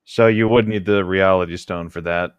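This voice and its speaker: A man's voice: deep voice, steady tone, moderate pace, clean tone, no echo, muffled recording. A man co-hosting a podcast, switching between reading aloud and casual chat with friends. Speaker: podcast co-host.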